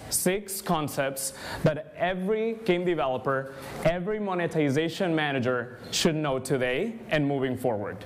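A man's voice: fairly high pitch, slight background noise. Spanish-accented man giving an upbeat keynote. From Spain. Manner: energetic, engaging, addresses the audience directly. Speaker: conference speaker. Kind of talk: conference keynote talk. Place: large conference room, indoors.

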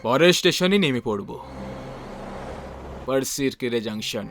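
A man voicing a calm, cryptic, foreboding male stranger in an animated horror story. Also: mid-range voice. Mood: cryptic warning. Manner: slow, deliberate, foreboding. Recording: loud music bed behind voice.

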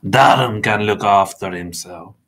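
A man voicing a proud, humourless vampire mentor in an audiobook story, stiff, curt and formal in his gruff delivery. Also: lowish voice, a little slow, a bit bright. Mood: stiff, guarded.

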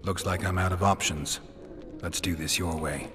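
A man voicing a weary, sardonic imprisoned monster hunter in a video game, with dry, deadpan delivery. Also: low voice, normal speed, background noise, close to an everyday voice. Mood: defiant, flippant.

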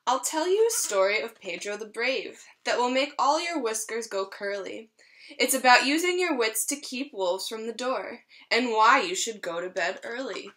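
A young woman teacher reads aloud for small children in a storytelling manner. Her voice is fairly high and bright, recorded indoors at about arm's length. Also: lively intonation, normal speed. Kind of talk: children's picture-book read-aloud. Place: small room at home, indoors.

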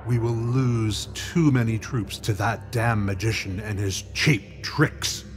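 A man's voice: fairly low pitch, steady tone, takes it slowly, faint music behind. A man playing a haughty, scheming villain lord in a fantasy audio drama, with a condescending, theatrical delivery. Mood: smug, mocking. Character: haughty, scheming male villain lord leading a dark council.

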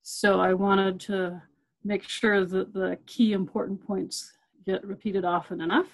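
A woman's voice: mid-range voice, fairly even intonation, a little slow, poor audio. Chatty woman librarian giving a webinar. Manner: conversational, repeats key points, many fillers and restarts. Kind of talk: webinar presentation.

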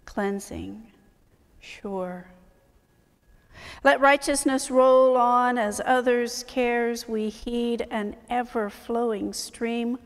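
Middle-aged woman, reader, very slow deliberate recitation, reverberant chapel. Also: mid-range voice. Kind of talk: reading a hymn text aloud. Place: large chapel indoors. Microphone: lapel mic.

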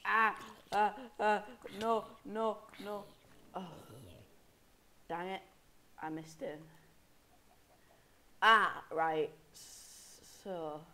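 Slow-talking young man with a cold. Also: high voice, fairly even intonation, sharp tone, slight background noise. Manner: rambling, self-correcting, mock-angry at enemies. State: sniffly head cold. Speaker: gameplay commentator. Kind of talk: casual game commentary.

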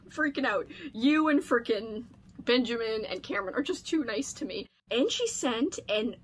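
A woman in her thirties chatting casually and gushing with excitement, as a video host in a home room. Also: fairly high pitch, normal speed, a little hiss or hum. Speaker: book channel host. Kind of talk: casual chat, unboxing vlog. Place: small home room lined with books.

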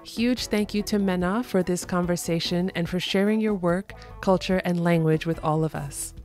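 A woman hosts an interview podcast with a slightly low voice and plenty of eager enthusiasm. She moves from a read introduction into easy, lively conversation, with faint music sometimes under her. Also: moderate pace. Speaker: podcast host. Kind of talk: scripted intro then casual interview.

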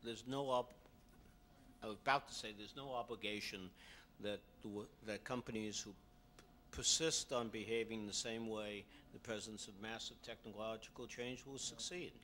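An older man talks on a discussion panel, his tone relaxed and dry. His voice is bright and forward. There is a faint hiss of room ambience behind him. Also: fairly low pitch, normal speed. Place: hotel conference room. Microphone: table mic on a stand.

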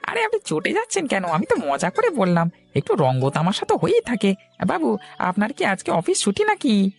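A woman's voice: medium pitch, wildly swooping pitch, moderate pace, vocal fry. A woman voicing a cheeky, deferential village laundry worker in a cartoon, teasing and eager to please. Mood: curious. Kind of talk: children's cartoon voice acting. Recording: music behind the voice. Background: music.

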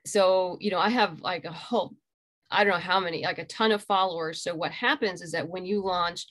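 A middle-aged woman with a slightly low voice talks as a guest in a casual interview. She explains in an informal, conversational way, speaking from home over a video call. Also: a little fast, fairly bright tone. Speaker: interview guest, graphic novel creator. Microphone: video call computer microphone.

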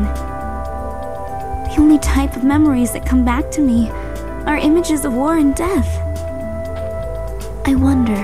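A woman voicing a gentle, wistful young woman warrior in a video game, soft, hesitant and earnest. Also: high-pitched. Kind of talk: video game drama acting.

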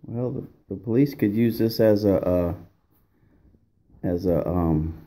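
A man's voice: lowish voice, mellow. A middle-aged man chatting casually and joking easily with a friend on a fishing trip. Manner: easygoing, joking, slow drawl. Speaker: fishing companion. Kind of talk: casual chat. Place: indoors at a themed venue, then on a boat.

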